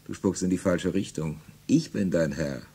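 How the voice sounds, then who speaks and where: An actor playing a cynical aristocratic slaveholder in a German radio drama, laughing and commanding. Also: male voice, deep voice, normal speed, dark tone, breathy edge. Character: cynical aristocratic slaveholder, middle-aged man.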